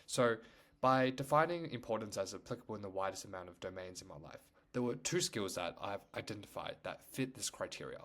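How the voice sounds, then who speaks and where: A man's voice: fairly low pitch, animated, brisk, a bit bright. A young man whose first language is Chinese, talking casually to camera as a YouTuber, rambling with lots of "like" and "you know". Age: young adult.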